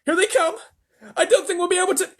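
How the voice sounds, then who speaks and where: A man voicing panicking astronauts shouting desperately for help over the radio. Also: extremely high pitch, fairly even intonation, fast, voice pushed up.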